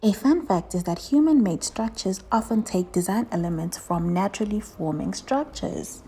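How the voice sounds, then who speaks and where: A woman plays an eager dragon doctor from a children's puppet show. The voice is soft-edged and mellow, explaining things chattily with repeated words. There is faint-to-clear noise in the homemade recording. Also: medium pitch, expressive, normal speed, lightly acted. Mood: eager, instructive.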